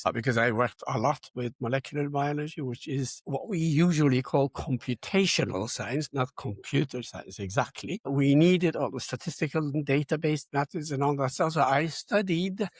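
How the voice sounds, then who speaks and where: An older man talks as an interview guest, explaining and digressing as he goes. His voice is a little rough and husky, and the sound is close and dry. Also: mid-range voice, dry recording. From Turkey. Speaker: guest, computer science educator. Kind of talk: interview self-introduction, conversational.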